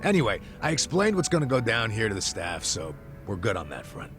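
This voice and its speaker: An actor voicing a blustering, slangy middle-aged ex-cop who bluffs as a rich benefactor in a video game. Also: male voice, lowish voice, huge pitch swings, brisk, fairly bright tone, faint music behind. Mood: casual, pleading. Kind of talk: video game cutscene dialogue.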